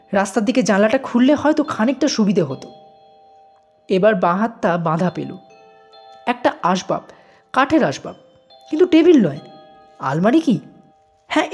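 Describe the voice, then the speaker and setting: High, husky woman narrating with lively swoops. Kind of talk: scripted audio story narration. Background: music under the voice.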